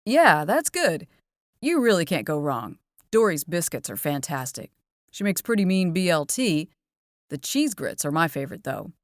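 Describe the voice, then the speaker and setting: A woman reading the part of a relaxed, slightly wry teenage boy who plays in a band, in a lightly lowered voice. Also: moderate pace, dry recording, clean studio sound. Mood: easygoing. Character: teenage boy, easygoing, laid-back musician.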